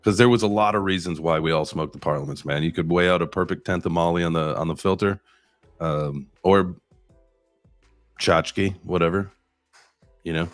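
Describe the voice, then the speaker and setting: A man's voice: low-pitched, moderate pace, long pauses, no room sound, faint music behind. A man hosting a podcast, chatting casually and rambling with lots of fillers and slang over a remote stream. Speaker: podcast host. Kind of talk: casual chat.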